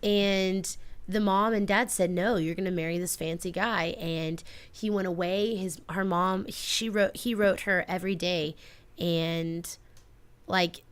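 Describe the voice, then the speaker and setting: A woman's voice: lowish voice, steady tone, measured pace, clean tone. A woman co-hosting a radio show in casual chat, telling stories in an earnest, rambling way. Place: community radio studio.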